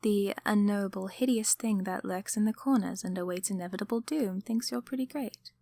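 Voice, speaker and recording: A woman playing a gentle, apologetic sleep paralysis demon in an ASMR roleplay, soft-spoken and often whispering reassurance. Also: mid-range voice, fairly quick, creaky, no echo. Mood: warm, encouraging. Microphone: close microphone.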